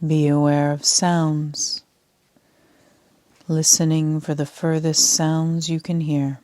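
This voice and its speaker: A woman leading a sleep meditation as a yoga nidra instructor, speaking in a soft, half-whispered, calm and soothing way. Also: low-pitched, takes it slowly, no room sound, clean studio sound.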